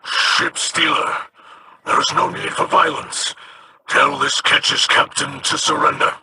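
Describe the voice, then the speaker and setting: An actor voicing a solemn alien pirate captain in a video game, speaking with measured gravity over noisy game audio. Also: male voice, on the high side, flat delivery. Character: noble alien pirate captain, grave and earnest.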